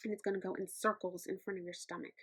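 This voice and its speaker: A woman's voice: medium pitch, level tone, fast talker, fairly bright tone, husky. A woman teacher explaining things step by step in an instructional lesson for children. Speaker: teacher of the deaf.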